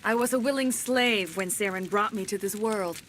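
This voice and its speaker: An actress playing an alien woman in a video game, speaking in formal, solemn, measured declarations. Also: medium pitch, a little hiss or hum, lightly acted. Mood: solemn, regretful. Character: alien woman, cold imperious mouthpiece of an ancient plant, later freed and remorseful. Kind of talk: dramatic video game cutscene acting.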